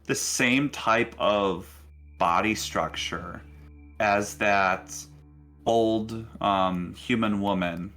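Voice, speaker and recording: A man narrating a tabletop game casually as game master, halting and thinking aloud as he goes. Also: on the low side, fairly bright tone, soft background music.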